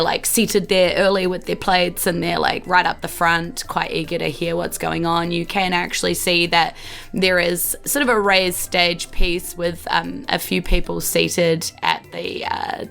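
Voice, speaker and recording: Brief: Kiwi woman game master, low gravelly voice, loose rambling narration. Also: reverberant. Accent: New Zealand. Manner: relaxed, rambling with many fillers.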